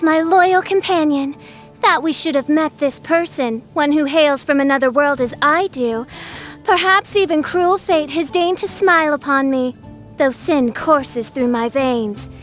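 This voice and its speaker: Video game role: high-voiced teen girl, pompous dark-royalty act, archaic theatrical delivery, music underneath. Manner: grandiose, pompous archaic speech. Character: theatrical teenage girl styling herself a dark princess. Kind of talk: scripted video game character acting.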